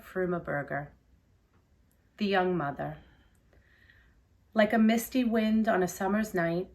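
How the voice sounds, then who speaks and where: Quiet, earnest woman reading a poem. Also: medium pitch. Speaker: granddaughter of the poet, reading a poem. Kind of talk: slow poetry reading.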